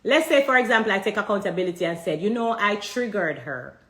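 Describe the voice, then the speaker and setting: A woman with a Jamaican accent speaks directly to her audience, hammering home her point with repeated rhetorical questions. Her voice sits at an ordinary middle pitch. The room around her rings with noticeable echo. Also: lively intonation, fast talker. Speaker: vlogger addressing viewers. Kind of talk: direct-to-camera commentary.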